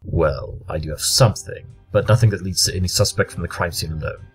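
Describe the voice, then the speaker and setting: Male actor as blunt genius detective, low register, formal diction, precise, audio drama, light music underneath. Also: fairly quick. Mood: dry, analytical. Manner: precise, formal, deductive, matter-of-fact. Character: brilliant, blunt, formal pony consulting detective.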